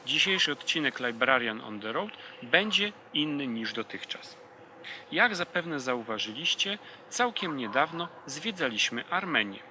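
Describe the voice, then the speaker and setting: A man hosting a travel vlog, giving a scripted introduction with a lively delivery. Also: medium pitch, normal speed, a little hiss or hum. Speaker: vlog host.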